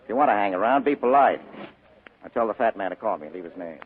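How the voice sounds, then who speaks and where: An actor playing a tough, wisecracking private detective in 1940s radio style, clipped and insistent. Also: male voice, on the low side, animated, fast talker, soft background music, close to an everyday voice. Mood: tough, threatening. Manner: clipped, hard-boiled, insistent. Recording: muffled old radio broadcast recording.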